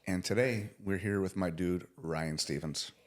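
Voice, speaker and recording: Podcast host, man, 40s, very low voice, earnest and casual. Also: measured pace. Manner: earnest, warm praise with casual slang. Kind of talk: casual interview chat.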